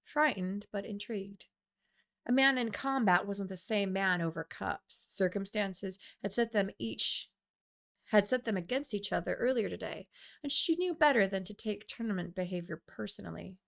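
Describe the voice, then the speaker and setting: A woman reading her own fiction aloud as an expressive storyteller. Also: mid-range voice, normal speed, gravelly. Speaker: author reading own audiobook. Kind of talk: expressive scripted narration of fiction.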